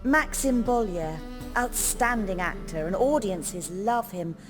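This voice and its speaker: An actress playing a poised, refined stage performer in a video game, articulate and gently persuasive. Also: female voice, mid-range voice, lively intonation, takes it slowly. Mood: considering. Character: poised, refined theatre troupe woman, experienced stage performer. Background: music.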